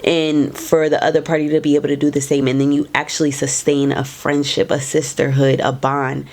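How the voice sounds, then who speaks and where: A woman's voice: deep voice, flat delivery, fairly quick, darkish tone. A young adult woman hosting her own show, talking earnestly and emphatically to viewers, a little nasal from a cold. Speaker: podcast host. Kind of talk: casual reflective talk to viewers.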